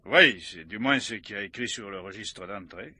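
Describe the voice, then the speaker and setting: An actor playing an excitable, folksy cemetery caretaker in a radio mystery, stammering and rambling. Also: male voice, fairly low pitch, moderate pace, breathy edge, exaggerated voice. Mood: rambling, eager. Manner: flustered, stammering, chatty, mangles learned words. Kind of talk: French radio mystery play acting.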